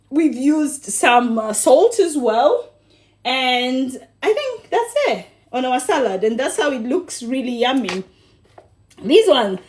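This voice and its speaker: A woman from Kenya chatting on a cooking livestream in a high, lively voice. She is bubbly and affectionate with her viewers, laughs often and teases them playfully. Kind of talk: casual livestream chat with viewers.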